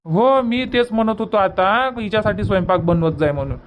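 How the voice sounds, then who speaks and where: कार्टूनमधल्या मायाळू, पुन्हा पुन्हा समजूत काढणाऱ्या मामाला वैदर्भीय मराठीत आवाज देणारा पुरुष. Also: male voice, high-pitched, a little fast, dull audio, a little hiss or hum, natural voice. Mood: matter-of-fact. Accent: Vidarbha Marathi. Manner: repetitive, reassuring. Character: kindly village uncle, soothing and grumbling about stingy granny. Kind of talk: cartoon comedy dubbing.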